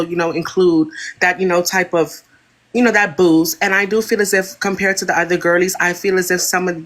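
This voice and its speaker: A woman's voice: fairly low pitch, a bit bright. A woman from Florida, calling in to a livestream for a comic job interview, talking in a hyped, chatty way full of fillers. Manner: hyped, chatty, fills with "you know". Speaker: caller.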